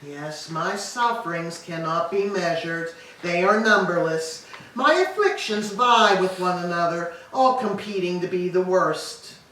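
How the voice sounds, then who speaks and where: A woman's voice: on the low side, a little slow, a bit bright. A woman playing a grieving, stoic old queen and grandmother in a staged reading of Greek tragedy, with formal, lamenting delivery. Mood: anguished. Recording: echoey hall, distant mic, faint noise.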